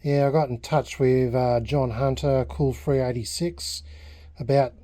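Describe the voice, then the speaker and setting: Mellow-voiced Australian man, halting and casual. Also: medium pitch, fairly even intonation. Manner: halting, rambling, self-correcting. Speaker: hobbyist video host. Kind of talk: casual workbench vlog narration. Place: indoor home workbench.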